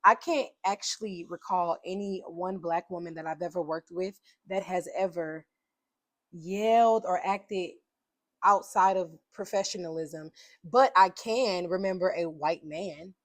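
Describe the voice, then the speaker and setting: A woman hosting a podcast conversation, animated and affirming, quick to laugh along. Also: fairly low pitch, no echo.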